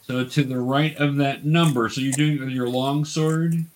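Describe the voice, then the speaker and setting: A man's voice: mid-range voice, steady tone, normal speed. Male game master, mid-range voice, patient and explanatory, teaching a tabletop fantasy game in conversational narration.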